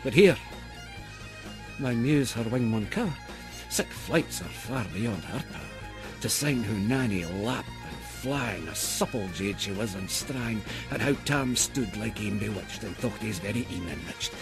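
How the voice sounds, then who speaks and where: A man reciting a narrative poem theatrically, swinging from loud outbursts to hushed whispers. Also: fairly low pitch, expressive, measured pace, music in the background. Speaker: poetry reader. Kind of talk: dramatic poetry recitation.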